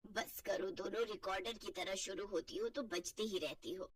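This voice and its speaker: A woman's voice: medium pitch, moderate pace, husky, recorded from a distance, pitched below the performer's own voice, lightly acted. A woman voicing an exasperated, melodramatic elderly mother-in-law who grumbles in a family cartoon. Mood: scolding. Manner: grumbling, dramatic complaining. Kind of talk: Hindi family cartoon voice acting.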